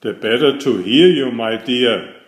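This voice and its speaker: A man's voice: medium pitch, expressive, measured pace, roomy sound, natural voice. A man voicing a cunning male storybook wolf with a coaxing, theatrical, falsely sweet and menacing manner. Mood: feigned sweetness.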